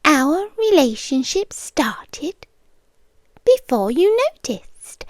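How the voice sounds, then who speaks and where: High, sweetly menacing British yandere girlfriend, acted by a woman. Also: very slow, no room sound, full character voice. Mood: calm, insistent. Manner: coy, doting, unsettlingly calm, breathy whispering. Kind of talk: ASMR roleplay, intimate soft-spoken acting. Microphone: close microphone, dry.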